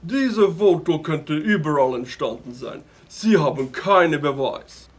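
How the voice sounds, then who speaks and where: An actor playing a scheming, indignant businessman in an audio drama, speaking German with an English accent and smooth-talking in mixed-in English phrases. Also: on the high side, lively intonation, slow pace, heavily acted. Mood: slick, insistent. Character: slick, scheming businessman planning a hotel, indignant.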